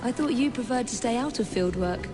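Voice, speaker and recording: A woman playing a confident, curious young Victorian assassin in a game, with an English accent and a crisp, composed delivery. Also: on the high side, expressive, brisk, lightly acted. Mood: dry, teasing. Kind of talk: video game cutscene acting. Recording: music behind the voice.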